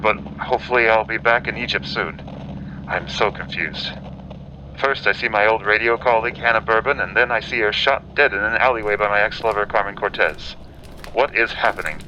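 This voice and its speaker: A man's voice: fairly low pitch, steady tone, moderate pace, lightly acted. Man playing earnest, bewildered radio correspondent, muffled. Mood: confused, upset. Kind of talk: radio drama acting. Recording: dull, noisy audio.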